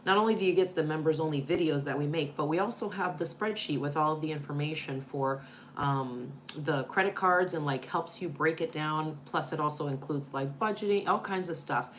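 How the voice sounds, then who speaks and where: An older woman talks in a low voice, chatty and quick to laugh. She is co-hosting a relaxed live Q&A. Faint noise sits under the recording. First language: Spanish. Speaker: co-host. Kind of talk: casual live Q&A chat.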